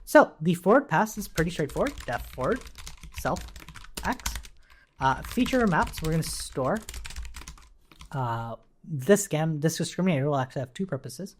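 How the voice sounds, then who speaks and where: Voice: medium pitch, lively intonation, normal speed, long pauses, a little hiss or hum. An instructor giving an informal live coding tutorial, thinking aloud while typing and often checking in with the listener, with keyboard sounds behind. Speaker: programming instructor. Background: computer keyboard typing.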